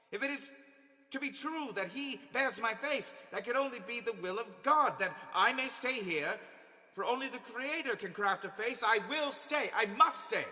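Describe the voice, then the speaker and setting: A tormented male ex-convict turned benefactor, acted out by a man in an audio drama monologue, speaks in a long, hall-like reverb. His voice is bright and forceful. He argues with his own guilt in theatrical, agonized questions. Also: sits high, full character voice. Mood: desperate.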